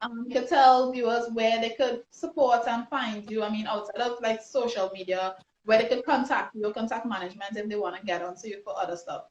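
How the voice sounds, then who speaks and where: Trinidadian woman, host, fairly high voice, chatty and upbeat, roomy echo. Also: steady tone, brisk, slight background noise. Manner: upbeat, chatty, frequent restarts and fillers. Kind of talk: live online interview chat. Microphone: phone or laptop on a video call. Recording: roomy, dull lo-fi livestream audio.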